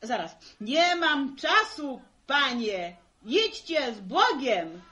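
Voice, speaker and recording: A woman's voice: sits high, very animated, takes it slowly, bright, forceful tone, full character voice. An actress playing a simple middle-aged village mother, hesitantly stumbling through a part in a 1960s radio drama. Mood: hesitant, reciting. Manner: hesitant, folksy, stumbling over words. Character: simple, flustered village mother haltingly reading a play part.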